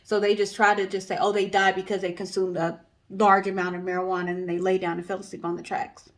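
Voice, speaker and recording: Woman cohost just casually telling stories, regular mid pitch, chatty conversational. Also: little pitch movement, quick pace. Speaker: co-host of a storytelling guessing show.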